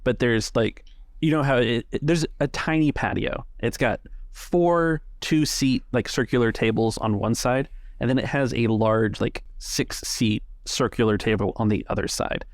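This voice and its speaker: A man narrating a tabletop game as game master, casually improvising descriptions in a halting way. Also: mid-range voice, dry recording, clean studio sound.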